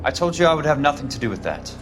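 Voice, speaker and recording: An actor playing a hard-bitten war veteran who talks bluntly and scornfully. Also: male voice, fairly high pitch, sing-song, rapid-fire. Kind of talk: video game cutscene acting. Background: music score.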